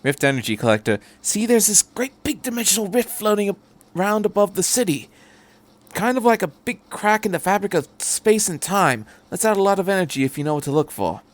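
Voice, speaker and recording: A man's voice: high-pitched, very animated, a little fast, voice pushed up. A man voicing a cheerful, chatty, eccentric male time traveller who rambles breezily in a fan fiction reading. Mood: excited, rambling.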